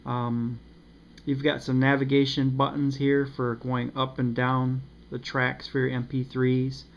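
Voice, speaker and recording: A man giving a casual, unscripted review of a radio, pausing often with ums and uhs. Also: mid-range voice, monotone, gravelly. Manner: hesitant, many ums and uhs. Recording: slightly dull with faint noise.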